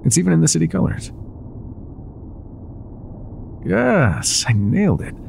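Man acting a gravelly, tender ex-mafia boyfriend. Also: fairly high pitch, big pitch swings, a little fast, slight background noise, heavily acted. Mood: playful, affectionate. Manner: soft, intimate, affectionate, hesitant at times. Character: older ex-mafia boyfriend, tender, teasing, vulnerable bar owner. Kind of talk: intimate boyfriend roleplay ASMR.

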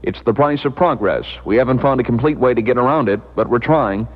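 Fast-talking man on muffled old radio. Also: medium pitch. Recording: muffled old radio recording, faint noise.

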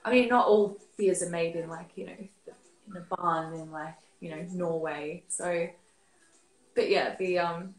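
A woman's voice: on the low side, fairly even intonation, measured pace. Woman, 30s, from Australia, guest expert, casual video call, roomy webcam sound. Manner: chatty, many fillers and restarts. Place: living room at home. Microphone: laptop webcam on video call.

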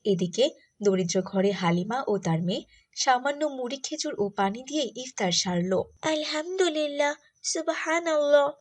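A woman's voice: mid-range voice, animated, normal speed, a little mellow, breathy edge. A female narrator reads a scripted moral tale for a cartoon. She tells it as a storyteller between the scenes.

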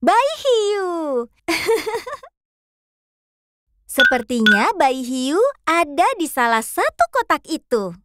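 A woman's voice: very high voice, very animated, very slow, pauses often, loud music behind the voice. A woman voicing a cheerful pink fox who hosts a children's cartoon and coaxes kids through a hide-and-seek game. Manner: bright, coaxing, talks to kids.

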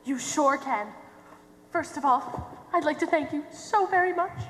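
Young woman playing a shrill, grandiose American mother. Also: a little slow. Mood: gushing, imperious. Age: young adult. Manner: grandiose, theatrical, declamatory. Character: pompous, gushing, melodramatic middle-aged mother pie-seller. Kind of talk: projected stage acting. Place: theater stage, distant camera. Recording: echoey noisy hall from far off.

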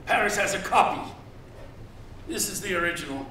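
Grand, high-voiced older gentleman, very echoey. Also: lively intonation, moderate pace. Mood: rapturous, grand. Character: grand, cultured mansion owner rapturous over art. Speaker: actor. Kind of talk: dramatic stage reading. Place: theatre stage. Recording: very echoey stage, distant, hissy ambience.

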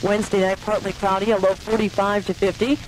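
A woman's voice: medium pitch, flat delivery, a little fast, poor audio. A woman disc jockey reading announcements and weather on 1980s local radio. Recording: 1980s radio aircheck, faint noise.

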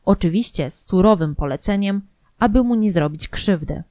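A woman reading a scripted documentary narration in a measured, formal manner. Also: medium pitch, expressive, warm tone, no echo, clean studio sound.

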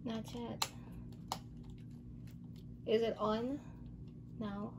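Woman, mom helping her kid in a home video, patient instructing delivery, high-pitched, clear background noise. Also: level tone, extremely slow, a little mellow. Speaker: mother helping her child on camera. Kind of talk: casual home chat with a child. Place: bedroom at home. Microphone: camera mic, speaker off camera. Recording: noisy home recording.

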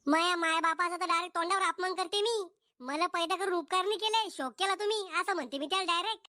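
Man doing rude teenage girl, cartoon comedy, piercingly high pitch, cocky, insolent, Vidarbha Marathi accent. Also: fast, sharp tone, dry recording, clean studio sound, exaggerated voice. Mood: cocky, threatening. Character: brazen, rude teenage girlfriend, boastful and threatening. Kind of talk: comic cartoon dialogue.

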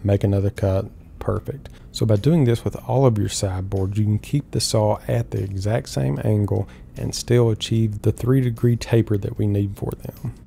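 A middle-aged man calmly explaining woodworking steps one at a time in an instructional voice-over. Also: fairly low pitch, moderate pace, vocal fry. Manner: calm, step-by-step explaining. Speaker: woodworking host.

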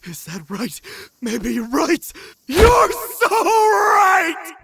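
A man's voice: extremely high pitch, wildly swooping pitch, very slow, vocal fry, bright, forceful tone, no echo, shouting, clean studio sound, voice pushed up, full character voice. A man voicing a cocky young sorcerer in an anime fan dub, rambling in theatrical, exhilarated glee. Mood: manic glee. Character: manic young male sorcerer, cocky, exhilarated, newly powerful.

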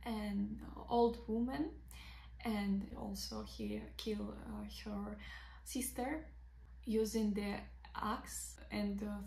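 A young Russian woman, a teacher of literature, talking to camera in English with a Russian accent, halting with many fillers and simple grammar. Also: mid-range voice, gravelly, a little mellow, reverberant, a little hiss or hum. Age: young adult. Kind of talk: casual talk to camera. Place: bright bedroom by big windows, indoors.

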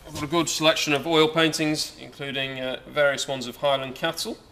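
A man's voice: on the high side, steady tone, brisk, sharp tone, low-quality recording. A young man, an auctioneer giving a casual, hesitant walkaround preview of his sale in a saleroom, recorded on a camera mic. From England. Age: young adult. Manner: chatty, hesitant with many fillers. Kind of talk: informal walkaround sales preview. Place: large indoor auction saleroom.

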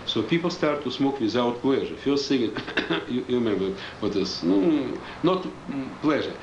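An older man with a heavy Russian accent talks in halting, broken English. The sound is roomy and a bit dull, with steady background noise, like a TV news crew's recording of an office session. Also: medium pitch, distant mic. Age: 60s. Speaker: healer. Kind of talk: interview answers. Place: office room with seated group.

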